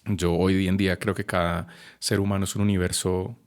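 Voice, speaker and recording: A man in his thirties speaks with a deep, low voice. He tells his own story slowly and thoughtfully, pausing often with small hesitations. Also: level tone. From Colombia. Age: 30s. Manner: reflective, measured, with frequent hesitations. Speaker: podcast guest. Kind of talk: personal testimony in a podcast conversation.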